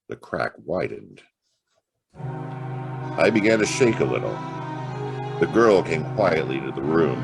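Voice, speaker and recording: Noir detective: extremely low male voice, world-weary wisecracks, radio-drama narration with music underneath. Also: creaky, exaggerated voice. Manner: wry, clipped tough-guy talk with slangy quips. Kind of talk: noir radio-play acting and first-person narration.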